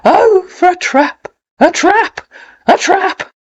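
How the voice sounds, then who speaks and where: A timid, flustered old mayor from a storybook poem, played by a woman with an English accent. The voice is high and swoops about in fretful exclamations. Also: lively intonation, slow, lo-fi sound, full character voice. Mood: despairing, fretful.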